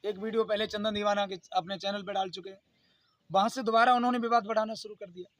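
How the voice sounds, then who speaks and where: A man's voice: high-pitched, little pitch movement, fast, a bit bright, no room sound. A man giving an unscripted video statement, speaking in an aggrieved, insistent, pleading and repetitive way. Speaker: YouTube channel host.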